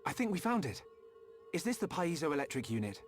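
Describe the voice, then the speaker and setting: A man's voice: on the high side, big pitch swings, a little fast, faint music behind. An actor playing an earnest, polite and determined young male hero in a video game cutscene, over noise. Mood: concerned, hopeful.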